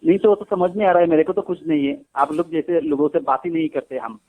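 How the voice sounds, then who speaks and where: A man with a high voice argues on a recorded phone call. He is a native Gujarati speaker. He scolds and presses, repeating the same challenge again and again in a heated way. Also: steady tone, quick pace. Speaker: devotee of a guru, arguing on a phone call.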